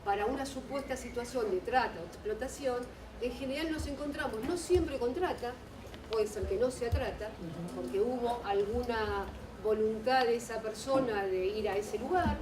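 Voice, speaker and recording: A woman's voice: a little high, background noise. Argentine woman, audience member pressing a question, echoey hall, distant mic. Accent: Argentine Spanish. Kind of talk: panel discussion question.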